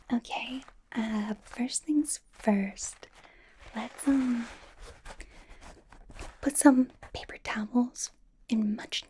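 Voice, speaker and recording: A woman plays a young female K-pop idol in an ASMR roleplay. Her voice is a breathy whisper, soft and mellow, edging higher when voiced. She rambles in a nervous, bubbly, supportive way, hesitant but reassuring. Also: exaggerated voice.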